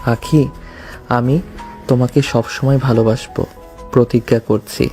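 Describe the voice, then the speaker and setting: Guy playing young husband in a love story, mellow dark voice, sweet n sheepish, music behind. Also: medium pitch, steady tone, measured pace, lightly acted. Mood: tender. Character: tender young groom, devoted and sheepish. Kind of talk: audio love story acting.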